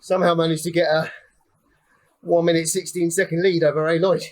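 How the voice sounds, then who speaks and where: A man's voice: fairly high pitch, level tone, fairly quick. A young man giving casual live commentary on a cycling race while riding, chatty and slightly out of breath. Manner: chatty, calling out finishers one by one. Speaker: ride leader and race commentator.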